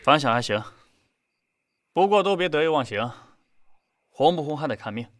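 A man playing a no-nonsense idol group manager, brisk and dryly scolding as he hands out instructions. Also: a little high, expressive, dry recording, natural voice. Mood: blunt, businesslike.

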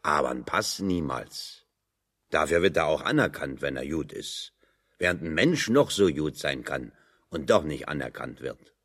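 A stocky metalworker in a radio play, very low in pitch with swinging intonation. He is gruff and blunt, delivering wry, sardonic observations in plain words. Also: animated, measured pace, fairly bright tone, exaggerated voice. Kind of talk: German radio drama dialogue.

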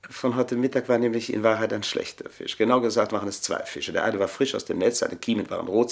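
Creaky, mock-polite scheming manservant. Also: male voice, lowish voice. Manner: pompous, mock-polite, savouring, theatrical. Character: scheming, gloating manservant, mock-deferential blackmailer and poisoner. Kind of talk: German radio play acting.

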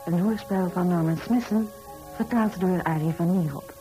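Low-voiced woman announcer over music. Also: normal speed, dark tone, poor audio. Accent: Dutch. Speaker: announcer of radio play credits. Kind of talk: formal scripted announcement.

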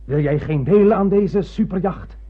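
An actor playing an obsessed, commanding spaceship captain in a radio play, with grandiose, intense declamation. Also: male voice, sits high, unhurried, slightly rough, narrow, tinny audio. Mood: brooding obsession. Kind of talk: dramatic radio play acting.

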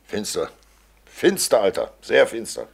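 An actor playing Death as a fat, cynical undertaker with a sardonic, matter-of-fact manner, in a 1940s German radio play. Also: male voice, on the low side, big pitch swings, measured pace, creaky, breathy edge, full character voice. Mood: mocking. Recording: old 1940s radio recording.

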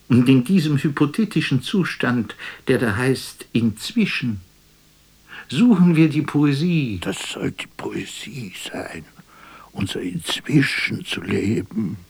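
An actor playing a melancholy, self-doubting stage player in a radio drama, speaking in a declamatory, poetic way that moves between murmur and outcry. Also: male voice, on the low side, vocal fry, husky, no room sound, exaggerated voice. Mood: quiet, resigned. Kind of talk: theatrical radio drama monologue.

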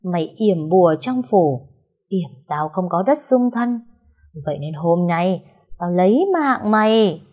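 A woman putting on a vengeful ghost in a spoken horror tale, low and drawn out, sometimes sinking to a breathy whisper. The voice is husky and threatening. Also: animated. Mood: interrogating. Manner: slow, hushed, menacing. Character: vengeful ghost with a hollow, menacing voice.

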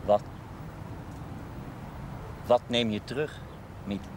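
A man's voice: medium pitch, extremely slow, noisy background. An actor playing an ardent young man courting his sweetheart in a period television drama. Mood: teasing. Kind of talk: 1970s Dutch television period drama acting.

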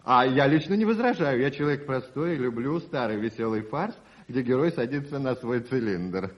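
An actor playing a jovial, enthusiastic gentleman in a radio drama, effusive and theatrical in manner. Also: medium pitch, animated, moderate pace, lightly acted. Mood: playful, eager. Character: jovial, enthusiastic gentleman guest fond of old farce. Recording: dull early-1980s radio play recording.

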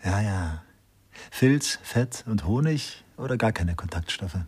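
An actor playing a drily pompous, bookish assistant detective in a German radio crime comedy, musing in know-it-all asides. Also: male voice, fairly low pitch, lively intonation, slow pace, darkish tone, heavily acted. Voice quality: husky. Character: bookish, opinionated male police sidekick, drily pompous.

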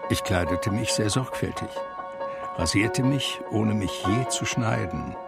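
An actor playing a blind man from a cultured New York family, reminiscing with measured, articulate, gently wry delivery in a German radio drama. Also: lowish voice, vocal fry, over loud music. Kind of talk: German radio drama first-person narration.

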